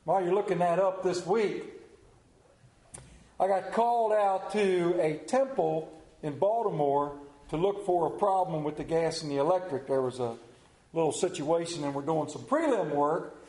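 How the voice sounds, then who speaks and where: The relaxed, folksy man you would hear from the pulpit on a Sunday morning, his words echoing through the sanctuary. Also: fairly high pitch, moderate pace. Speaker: pastor preaching to a church congregation. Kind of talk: sermon with anecdote, scripture reading and prayer. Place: church during a service, also on video. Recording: roomy, echoing church sound.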